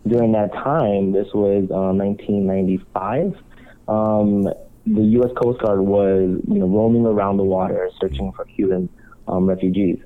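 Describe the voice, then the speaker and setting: A man who was born in Cuba and works as a marriage counselor calmly tells his life story as a guest on a talk show. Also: on the low side, normal speed. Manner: calm, reflective storytelling. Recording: tinny phone-line sound.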